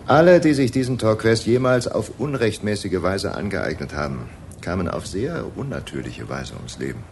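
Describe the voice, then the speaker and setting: An actor playing a shrewd local newspaper chief in a radio crime drama, telling things in a knowing, theatrical, self-assured way. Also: male voice, low-pitched, very animated, moderate pace, darkish tone, slight background noise. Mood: knowing, ominous.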